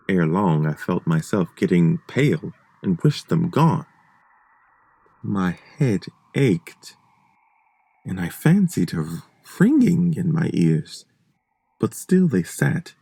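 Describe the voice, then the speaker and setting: A performer playing a guilty, unhinged murderer in a gothic horror monologue, theatrical and deliberate, insisting on composure. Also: deep voice, vocal fry, husky, dry recording, exaggerated voice. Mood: uneasy. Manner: theatrical, deliberate, swinging from hushed to shrieking. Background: eerie sound effects and faint music.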